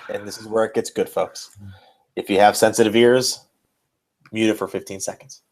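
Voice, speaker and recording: A man hosts a podcast and reads fan fiction aloud. He treats it as drama, with a mock-serious delivery. His pitch sits in an ordinary male middle range. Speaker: podcast host.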